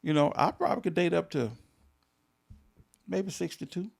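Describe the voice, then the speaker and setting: A pastor in his fifties co-hosting a casual talk show, emphatic and quick to repeat himself. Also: fairly high pitch, animated, gravelly. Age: 50s. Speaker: pastor and podcast co-host. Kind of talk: casual chat.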